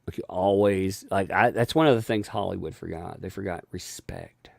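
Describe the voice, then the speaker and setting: A man hosting a casual live stream, thanking viewers for donations and rambling with frequent restarts and chuckles; he has a gravelly voice. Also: low-pitched, moderate pace, darkish tone, no echo, clean studio sound.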